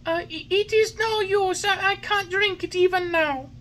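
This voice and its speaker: A woman voicing a whiny, stubborn puppet boy in a high, shrill voice. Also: fairly even intonation, a little slow. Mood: whining. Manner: shrill, whining, loud.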